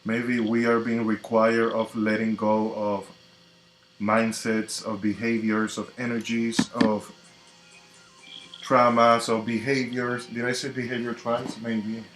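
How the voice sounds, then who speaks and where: A man from the Dominican Republic with a Dominican Spanish accent, a native Spanish speaker, hosting a live tarot reading in a slow, reflective, casual way. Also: medium pitch, flat delivery, fairly bright tone, soft background music. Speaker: tarot reader. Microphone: computer mic on a live stream. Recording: echoey room with faint noise.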